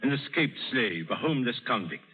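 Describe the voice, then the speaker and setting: An actor playing a worldly, avuncular older English lord in a 1930s radio drama style. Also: male voice, medium pitch, big pitch swings, fairly quick, natural voice. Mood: wry, probing. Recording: vintage radio broadcast, thin and tinny.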